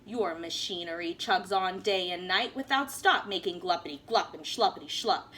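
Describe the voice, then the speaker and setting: A woman's voice: lowish voice, moderate pace, bright, forceful tone, pitched below the performer's own voice. A woman voicing a grumpy small male forest creature, snapping and scolding.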